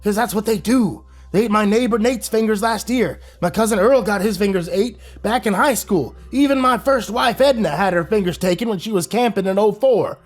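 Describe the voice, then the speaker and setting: A man voicing a bitter old mountain man with gruff, rural speech, shouting through gritted teeth. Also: high-pitched, normal speed, few pauses, pitched above the performer's own voice.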